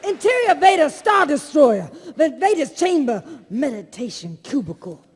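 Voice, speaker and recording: A man's voice: very high-pitched, big pitch swings, normal speed. A man narrating stage directions from a script in a projected, comic theatrical style. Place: on stage at a large convention hall. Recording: echoey convention hall.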